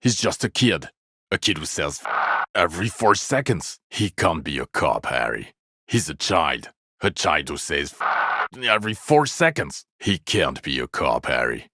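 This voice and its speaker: An extremely low, creaky male voice for a middle-aged police detective in a video game. He is jaded and blunt, dripping with weary sarcasm. The sound is close and dry, with no room on it. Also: sing-song, a little slow, sharp tone, no echo, heavily acted. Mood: exasperated.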